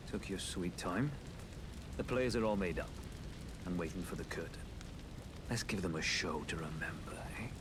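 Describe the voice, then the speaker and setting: Casting: male actor for gruff fantasy companion, low pitch, dry comradely delivery, rainy background. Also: moderate pace, pauses often, noisy background, natural voice. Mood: wry, comradely. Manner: wry, clipped, informal comradely talk. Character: gruff, wry, loyal warrior companion of a witch. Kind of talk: video game fantasy dialogue.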